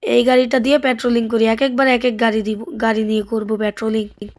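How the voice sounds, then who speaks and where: A woman's voice: fairly high pitch, little pitch movement, fast talker. A woman with a Bangladeshi accent giving casual, chatty gameplay commentary, thinking aloud and asking questions as the host. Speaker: gaming video host.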